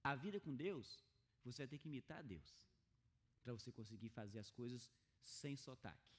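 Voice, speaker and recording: A man preaching a sermon, lively and acting out little dialogues. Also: mid-range voice, moderate pace. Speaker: pastor. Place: church.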